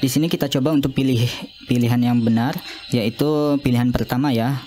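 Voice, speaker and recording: Young man with a mellow voice giving a demo. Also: medium pitch, steady tone, slight background noise. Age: young adult. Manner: halting, repetitive. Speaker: student demonstrating own app. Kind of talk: informal software demo narration.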